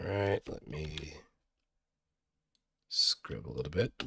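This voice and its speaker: A man's voice: rumbling low, animated, takes it slowly, vocal fry, sharp tone, slightly rough, no echo. A man talking casually to himself as he works through a voice recording practice session. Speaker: amateur voice actor.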